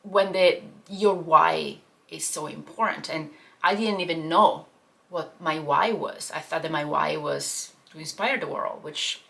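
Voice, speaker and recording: Italian-accented woman with a bright voice. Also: low voice, a little slow, creaky. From Italy. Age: 30s. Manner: earnest, open, with many fillers and restarts. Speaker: life and business coach. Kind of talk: personal story told to camera.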